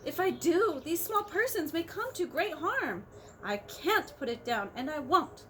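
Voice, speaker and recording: Woman voicing a gentle male elephant; earnest, protective, bright animated read. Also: high voice, a little slow, slight background noise, voice pushed up, exaggerated voice. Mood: worried. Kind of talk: storybook reading aloud.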